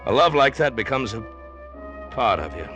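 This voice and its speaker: A man's voice: medium pitch, very animated, moderate pace, music in the background, lightly acted. An American actor playing a hard-boiled, world-weary man in a vintage radio drama, terse and grave. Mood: somber. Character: hard-boiled, world-weary lovesick male protagonist telling his story. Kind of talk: 1940s radio drama acting and first-person narration. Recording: old-time radio recording.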